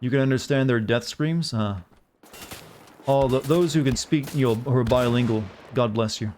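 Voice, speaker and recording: A man's voice: medium pitch, moderate pace, darkish tone, a little hiss or hum. A man from the southern United States with an American accent, giving casual, rambling live gameplay commentary with jokey, self-deprecating asides to viewers. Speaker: game streamer.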